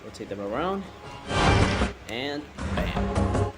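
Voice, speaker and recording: A man narrating a hands-on toy review in a halting way, often repeating his words. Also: medium pitch, big pitch swings, loud music behind the voice.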